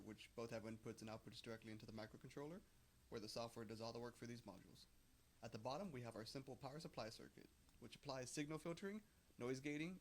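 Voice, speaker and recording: A young adult man delivers a scripted technical presentation as an engineering student. He speaks at a quick clip in a mid-pitched voice. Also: a little hiss or hum.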